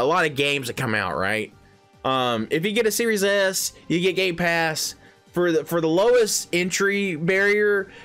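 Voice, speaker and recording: Lively, rambling thirty-year-old man. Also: a little high. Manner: rambling and emphatic, with restarts, slang and swearing. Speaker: gaming video creator. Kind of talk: casual opinion vlog.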